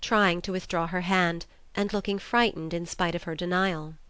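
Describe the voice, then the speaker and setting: A woman's voice: fairly low pitch, steady tone, moderate pace, fairly bright tone, clean tone, no echo. A woman reading a classic novel aloud as a scripted literary narration. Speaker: audiobook narrator.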